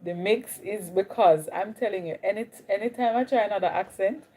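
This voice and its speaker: A woman's voice: medium pitch, a little fast, dark tone, breathy edge. A young woman with a Jamaican accent, chatting casually with viewers on a live stream. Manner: chatty, greets viewers, drifts between Caribbean accents. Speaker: livestream host.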